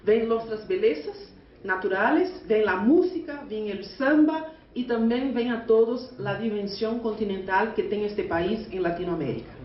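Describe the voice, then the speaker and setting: Brief: Brazilian woman, Portuguese accent, earnest union speaker, far off in an echoey room. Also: mid-range voice. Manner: halting Spanish mixed with Portuguese, earnest. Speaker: union delegate. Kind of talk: conference speech. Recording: echoey, distant, muffled hall recording.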